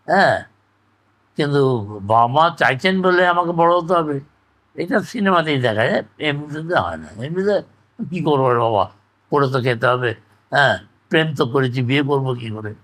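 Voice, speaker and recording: A man's voice: a little high, lively intonation, moderate pace, long pauses, fairly bright tone. An older man, reminiscing and giving opinions in an emphatic, conversational interview style with rhetorical questions. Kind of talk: conversational oral-history reminiscence.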